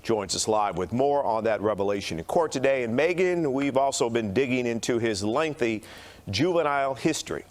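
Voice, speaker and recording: A man with a medium-pitched voice reads scripted news as a television anchor. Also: animated, normal speed.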